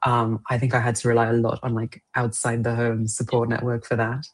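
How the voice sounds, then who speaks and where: A young woman poet raised speaking Romanian at home, answering interview questions thoughtfully with pauses and soft laughs. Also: very deep voice, monotone, husky. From Romanian family, raised partly in England. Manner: thoughtful, hesitant, laughs often. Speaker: poet guest.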